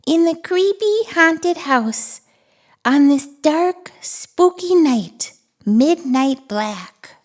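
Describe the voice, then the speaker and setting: A woman voicing a friendly, excitable little monster who reads picture books to toddlers with gleeful wonder. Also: sits high, heavily acted. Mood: playfully spooky. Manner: gleeful, giggly, breathless wonder, drawn-out words. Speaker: children's read-aloud host. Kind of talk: animated picture-book read-aloud for toddlers.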